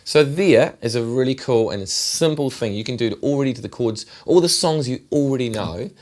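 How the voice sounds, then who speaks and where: A middle-aged man with a New Zealand accent, giving a relaxed, chatty guitar lesson. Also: medium pitch, brisk. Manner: relaxed, chatty, repeats himself while demonstrating. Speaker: guitar teacher. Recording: loud guitar over the voice. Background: acoustic guitar playing.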